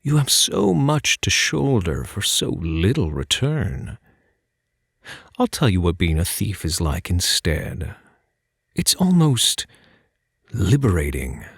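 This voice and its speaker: A man playing a suave, teasing phantom thief in an intimate ASMR roleplay, soft-spoken and coaxing, speaking straight to the listener. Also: low-pitched, wildly swooping pitch, slow, vocal fry, breathy edge, no room sound, studio quality, exaggerated voice. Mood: persuasive, wistful. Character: suave, teasing male phantom thief posing as prison guard.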